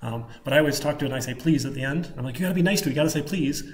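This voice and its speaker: A man's voice: mid-range voice, very fast. A man with a Michigan Midwestern accent giving an informal live coding lecture, rambling casually and thinking aloud. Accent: Michigan Midwestern American. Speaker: programming lecturer. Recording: clicking keyboard, faint room noise. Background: keyboard typing.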